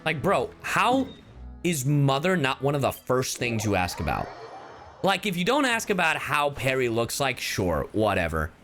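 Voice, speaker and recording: A man's voice: mid-range voice, wildly swooping pitch, moderate pace, fairly bright tone, faint music behind. A man commenting casually on a game during a livestream, informal and reactive, with some swearing. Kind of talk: casual gaming stream commentary.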